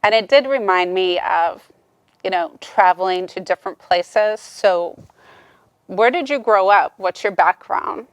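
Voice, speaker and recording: A woman's voice: medium pitch, a little slow, no room sound. A woman interviewing an author, chatting casually and encouragingly. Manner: enthusiastic, encouraging.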